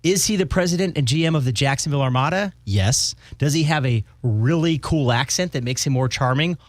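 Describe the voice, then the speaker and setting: Fast-talking, upbeat male host. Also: medium pitch, dry recording. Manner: enthusiastic and jokey. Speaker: podcast host. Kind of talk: casual interview chat.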